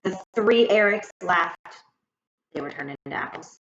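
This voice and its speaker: Animated woman reading a children's story aloud. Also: medium pitch, moderate pace, a little hiss or hum. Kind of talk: expressive scripted children's story narration. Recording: echoey room, slightly muffled sound.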